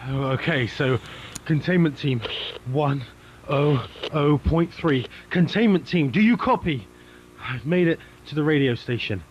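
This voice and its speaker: A man plays a determined park employee on a dangerous rescue in found-footage horror. His voice is fairly high and his talk is terse and urgent. The sound is dull and lo-fi with faint noise. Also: expressive, a little slow. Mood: urgent, resolute.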